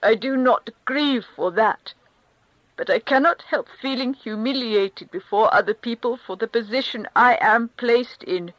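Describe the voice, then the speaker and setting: A woman's voice: fairly high pitch, a little slow, fairly bright tone, no room sound, muffled recording, voice pushed up. A woman reading a crushed, humiliated middle-aged man in a thin, strained, pleading voice. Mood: anguished. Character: grieving humiliated middle-aged male official. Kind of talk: audiobook character reading.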